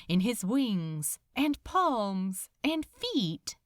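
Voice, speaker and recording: A woman narrates a story in a dramatic, measured way. Her pitch moves expressively while the pace stays slow. The sound is close and very dry. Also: big pitch swings, no room sound. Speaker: audiobook narrator. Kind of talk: scripted fiction narration.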